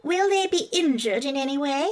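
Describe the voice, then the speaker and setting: A man's voice: extremely high pitch, animated, normal speed, voice pushed up. Queen of the Mice, voiced by a man, tiny and demure. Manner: demure, gentle and polite.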